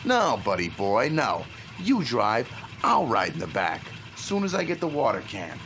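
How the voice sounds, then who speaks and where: An actor playing a suspicious, tough crook in a radio drama, with a blunt, folksy, sarcastic delivery. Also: male voice, mid-range voice, huge pitch swings, unhurried, fairly bright tone, a little hiss or hum, full character voice. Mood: sarcastic, distrustful.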